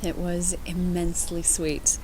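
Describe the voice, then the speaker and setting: A woman's voice: on the low side, completely flat delivery, unhurried, warm tone, a little hiss or hum, close to an everyday voice, low-quality recording. An actress playing an affectionate female commander, wry, gracious and playful, in a science fiction audio drama. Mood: fond, teasing. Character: affectionate, teasing female commander from another planet.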